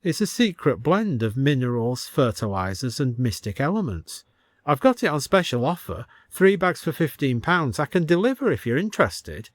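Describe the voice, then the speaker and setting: A man's voice: medium pitch, sing-song, moderate pace, no room sound, studio quality, natural voice. A man voicing a good-natured, impulsive garden centre man with casual salesman's patter in an audiobook. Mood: affable.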